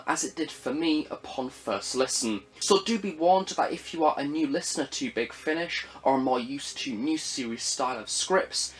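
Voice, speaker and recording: A young man giving a scripted, narrated review of audio dramas in measured, formal phrasing with frequent emphasis. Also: fairly high pitch, a bit bright.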